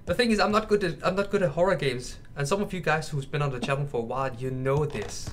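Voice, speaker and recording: A man's voice: fairly high pitch, fast. A man doing casual, nervous commentary over a horror game, chatting to his viewers. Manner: nervous, addresses viewers as bros and mate. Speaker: gaming YouTuber. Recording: clear background noise with game ambience.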